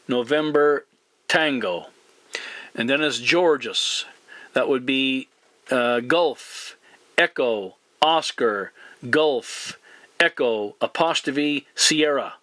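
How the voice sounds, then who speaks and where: Male radio operator, measured and polite, bright edge to the voice, very dry recording, methodical over-and-out delivery. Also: mid-range voice, no room sound. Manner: courteous, deliberate, formal radio procedure. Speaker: CB radio operator and video channel host. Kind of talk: CB radio exchange with spelling alphabet.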